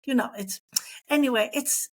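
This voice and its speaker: A woman's voice: high-pitched, moderate pace. A middle-aged woman telling personal stories as an interview guest, acting out the dialogue as she goes. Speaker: interview guest, former missionary.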